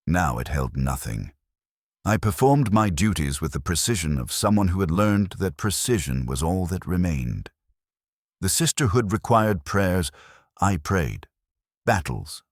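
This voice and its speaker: A man narrating as a very deep-voiced, hollow warrior nun. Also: dry recording, studio quality, full character voice. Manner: detached, solemn, clipped sentences. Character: hollow, faithless warrior nun recounting her grim life. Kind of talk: scripted first-person dramatic narration.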